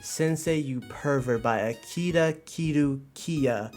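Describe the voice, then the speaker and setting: High-voiced excitable man streaming. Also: takes it slowly. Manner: slangy, exclamatory, repeats phrases in bursts. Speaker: livestream host reviewing manga. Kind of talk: animated commentary to a live chat. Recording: music behind the voice. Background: music.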